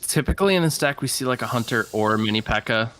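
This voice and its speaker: A man's voice: mid-range voice, expressive, quick pace, a bit bright. An American man from Massachusetts giving analytical live commentary on an esports match. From Massachusetts, United States. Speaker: esports caster.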